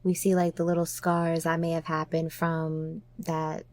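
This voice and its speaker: A woman's voice: sits low, completely flat delivery, measured pace, darkish tone. A woman podcast host chatting casually and reflectively, informal and emphatic, often checking in with her listener.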